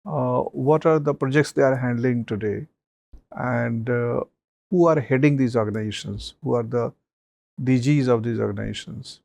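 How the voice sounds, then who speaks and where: Gravelly guy giving interview feedback, measured, lots of uh. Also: medium pitch, darkish tone, no echo. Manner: advising, measured, many fillers. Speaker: mock interview panelist.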